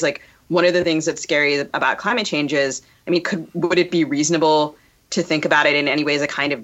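A woman co-hosting a podcast interview, feeling her way through questions in a halting, tentative, thoughtful manner. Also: deep voice, fairly bright tone, highs cut off. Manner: halting, tentative, thinks aloud. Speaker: podcast co-host.

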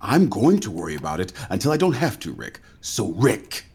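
An actor playing a dry, demanding producer in a comedy. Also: male voice, fairly low pitch, sing-song, moderate pace, noisy background. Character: anxious, cynical male Broadway producer.

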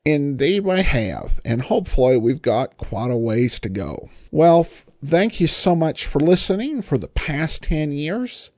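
A man hosting a solo podcast, giving informal commentary in a self-deprecating, hesitant way with frequent pauses. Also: a little high, darkish tone, no echo, studio quality. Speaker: podcast host.

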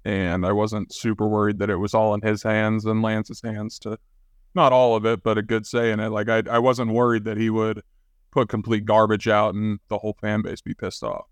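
A man's voice: lowish voice, little pitch movement, moderate pace, dry recording. A man co-hosting a sports show, talking in a casual, conversational way. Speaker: sports podcast co-host.

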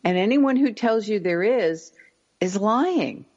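A middle-aged woman with a low voice, a parenting expert giving calm, deliberate advice in an interview. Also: dull audio. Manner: calm, deliberate, slows words for emphasis.

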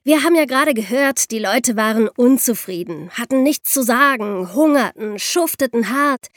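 A woman's voice: a little high, animated, moderate pace, no echo, studio quality. A woman speaking as co-host in an educational podcast conversation.

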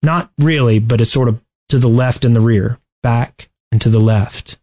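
A man co-hosting a podcast, talking through game strategy casually and haltingly as he thinks aloud. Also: mid-range voice, mellow. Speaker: podcast host.

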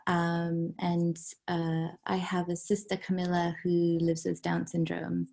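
Soft-spoken gravelly-voiced woman guest. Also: on the low side, completely flat delivery, slow. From Hong Kong. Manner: hesitant, soft-spoken, many ums, drops into whispers. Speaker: novelist, interview guest. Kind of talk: casual interview.

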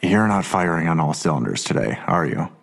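A tired middle-aged cop, talks in a very low, rough voice. He is dry, terse and sardonic, in an audio drama scene. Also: big pitch swings, brisk, full character voice. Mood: weary, sardonic. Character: weary, sardonic middle-aged male police officer.

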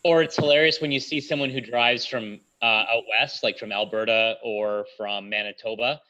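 A Canadian man with a bright, forceful voice, co-hosting a casual podcast chat. He tells stories playfully and acts out little asides. The recording sounds a bit dull. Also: lowish voice, steady tone, moderate pace. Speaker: podcast co-host.

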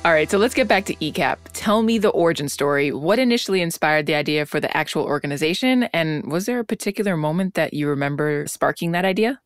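A woman's voice: sits low, animated, fast talker, few pauses, dry recording, soft background music. A woman interviewing a guest in a casual, upbeat and encouraging way. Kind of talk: conversational interview.

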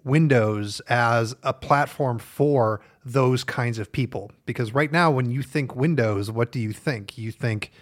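A man's voice: mid-range voice, measured pace, few pauses. A man co-hosting a tech podcast, talking casually and arguing his point. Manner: casual, argumentative, lots of "like". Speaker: podcast co-host. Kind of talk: podcast discussion.

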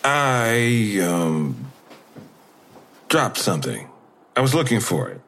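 An actor playing a groggy, injured, uneasy man in an audio drama, halting and husky. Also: lowish voice, big pitch swings, fairly bright tone, exaggerated voice. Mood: halting, suspicious. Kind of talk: naturalistic audio drama dialogue.

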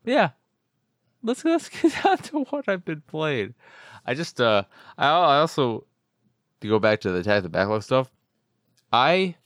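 A man's voice: medium pitch, sing-song, a little slow, pauses often, fairly bright tone, dry recording, studio quality. A man hosting a casual solo video game podcast, rambling and jokey, often interrupting himself and repeating words for emphasis. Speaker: podcast host. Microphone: close podcast microphone in a dry space.

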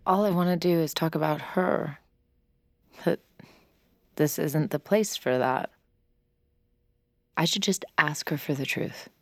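An actress playing a troubled, weary woman with a husky, gravelly voice, brooding and confessional in an intimate audio drama. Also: sits low, unhurried, long pauses, dry recording. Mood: weary, hesitant. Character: troubled, weary, suspicious woman, a relapsed addict.

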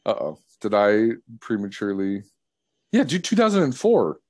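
Playful, animated podcast host man. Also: on the low side, normal speed, dry recording, studio quality. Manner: playful, laughs often, teasing. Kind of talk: casual chat.

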